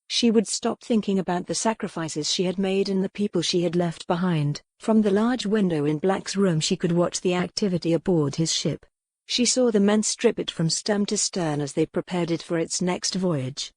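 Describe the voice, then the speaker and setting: A woman's voice: lowish voice, darkish tone, no echo. Dry, close-sounding woman audiobook narrator. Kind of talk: scripted dramatic fiction narration.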